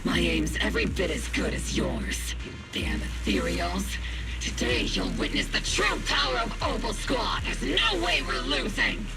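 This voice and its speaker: A battle-hardened female squad captain from an anime game. She is brusque, commanding and defiant, with hugely varied pitch. Loud music plays behind her. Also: big pitch swings, measured pace, gravelly. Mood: gruff, defiant.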